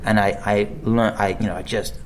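A man's voice: on the low side, fairly even intonation, a little fast, slight background noise. A man, an actor, chatting casually in an interview, rambling with many restarts and fillers. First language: English. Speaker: actor guest.

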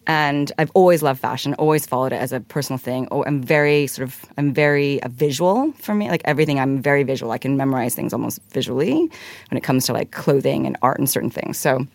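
A woman's voice: rumbling low, fast talker, creaky, darkish tone, no room sound. A woman from Hong Kong, a guest in a studio interview, talking in a chatty, rambling way with many "like"s and restarts. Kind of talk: casual interview answer. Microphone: studio mic.

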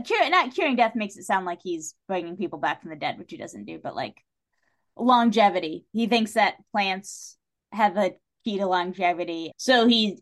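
Casting: female podcast guest, informal and salty-tongued, animated delivery in casual literary chat. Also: fairly low pitch. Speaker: guest on a book discussion podcast.

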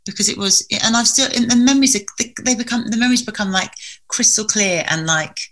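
A woman's voice: mid-range voice, fast, a bit bright. A woman talking as a guest in a heartfelt conversation, earnest and reflective, speaking in long winding sentences.

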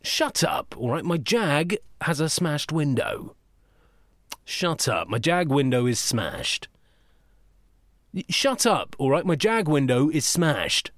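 A man's voice: on the high side, sing-song, takes it slowly, a bit bright, dry recording, studio quality, heavily acted. An actor playing a brash, easily flustered, sweary man in an audio comedy, recording takes. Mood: irritated. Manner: exclamatory, blustering. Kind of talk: audio sitcom acting, repeated takes.

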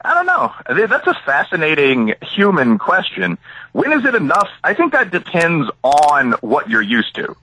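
A middle-aged American man speaks as an interview guest with a very bright, forceful edge and a husky grain. His pitch moves in a lively way as he lays out opinionated, analytical answers with asides and examples. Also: normal speed, rough recording. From Chicago area, United States. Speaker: interview guest, academic and author.

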